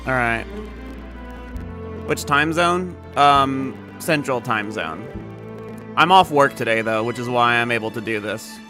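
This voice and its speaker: A man's voice: mid-range voice, unhurried, pauses often, music in the background. A man from the United States live-streaming video games, chatting casually in a rambling, informal way. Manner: rambling, informal, mild swearing.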